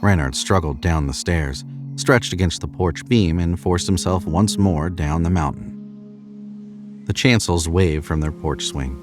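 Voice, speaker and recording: A man's voice: low voice, a little slow, over music. A man narrating scripted horror fiction in a dramatic storytelling style.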